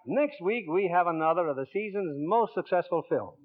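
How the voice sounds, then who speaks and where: Tinny vintage radio, male host, high-pitched, polished scripted announcing. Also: animated, fairly quick. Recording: tinny 1940s radio recording.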